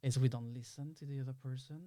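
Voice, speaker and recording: A man's voice: mid-range voice, fairly even intonation, quick pace, warm tone, no echo. Reflective male podcast guest. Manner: rambling, reflective, quotes imagined dialogue. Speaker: interview guest, filmmaker. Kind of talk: casual podcast interview.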